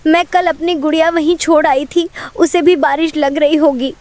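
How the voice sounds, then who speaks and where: A woman plays a poor little village girl in a cartoon story. The voice is high and dry, with an innocent, frightened manner. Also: fairly even intonation, normal speed, a little mellow, dry recording, natural voice. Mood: fearful, innocent.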